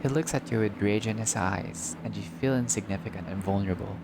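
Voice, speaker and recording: A man's voice: lowish voice, fairly quick, noisy background. A man playing through a visual novel, reading the game text aloud dramatically and reacting casually as he goes. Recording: loud music under the voice. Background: game music.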